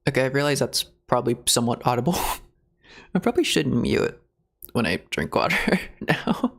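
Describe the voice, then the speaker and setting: Halting male game streamer, medium pitch. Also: big pitch swings, gravelly, fairly bright tone, slightly rough. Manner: halting, rambling, searching for words. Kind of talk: casual live game reaction commentary.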